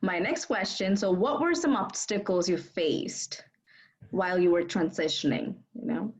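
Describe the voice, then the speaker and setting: A woman's voice: lowish voice, a little slow, husky. A young woman interviewing in a friendly, encouraging way, with many casual fillers.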